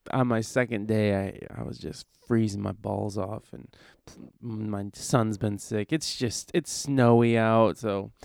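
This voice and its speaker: A man with a cold, hoarse, talking in a rambling, easygoing way as he hosts a casual podcast. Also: fairly low pitch, darkish tone, no echo, studio quality. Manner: rambling, easygoing, many fillers. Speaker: podcast host.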